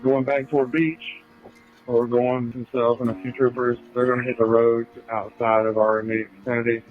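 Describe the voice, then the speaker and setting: A man's voice: medium pitch, level tone, a little fast. A man talking over a two-way radio as a search responder, in practical dispatch talk. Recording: dull radio audio, faint music.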